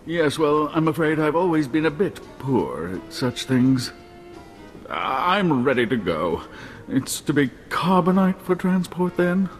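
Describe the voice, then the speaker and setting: A man's voice: fairly high pitch, sing-song, unhurried, faint music behind. A man voicing a pampered young nobleman held captive, whiny, indignant and stammering. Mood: shaken.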